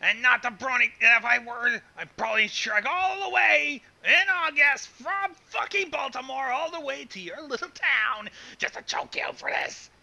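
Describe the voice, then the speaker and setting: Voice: high-pitched, normal speed, vocal fry, few pauses, pushed and bright, dull audio. A co-reader in sweary, ranting banter with theatrically exaggerated exasperation, with other voices behind. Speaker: co-reader commenting on the story.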